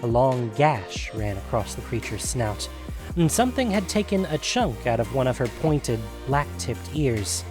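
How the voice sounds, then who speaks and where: A man reading scripted fantasy fiction as a narrator, in a measured, dramatic storytelling manner. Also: mid-range voice, big pitch swings, over music.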